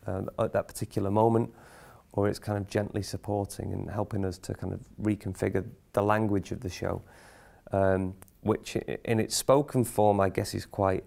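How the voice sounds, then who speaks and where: Low, creaky-voiced man explaining reflectively. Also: darkish tone. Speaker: theatre company member. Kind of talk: explanatory interview talk.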